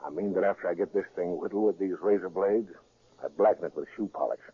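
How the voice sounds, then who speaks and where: An actor playing a cocky, defiant American gangster in a 1930s radio crime drama, talking tough in slang. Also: male voice, low-pitched, quick pace, slightly rough, narrow, tinny audio. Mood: sly, confiding. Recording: thin, band-limited 1930s radio recording.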